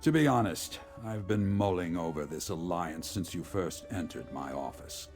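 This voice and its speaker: A gravelly, low-voiced general. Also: male voice, animated, darkish tone, faint music behind. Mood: haunted, reflective. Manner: formal, measured military speech. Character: remorseful, resolute veteran male general, father of a grown daughter. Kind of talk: scripted video game character dialogue.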